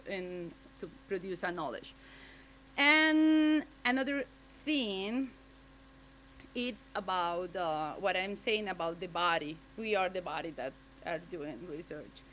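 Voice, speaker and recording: Halting woman researcher speaking at a panel. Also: mid-range voice, fairly bright tone, slight background noise, low-quality recording. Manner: halting, searching for words, many restarts and fillers. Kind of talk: academic panel talk. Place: conference panel.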